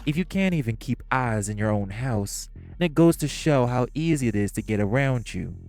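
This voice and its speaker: A man voicing hostile crime bosses in an audio roleplay drama, profane and confrontational, with soft music behind. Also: mid-range voice, animated, moderate pace, dry recording, close to an everyday voice. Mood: sneering. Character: hostile male crime bosses, magic gangsters.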